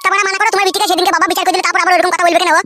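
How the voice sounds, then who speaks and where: A man voicing a whiny, hot-headed young village husband in a comic cartoon, complaining crudely and excitably. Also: very high-pitched, very fast, very noisy. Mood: angry complaining.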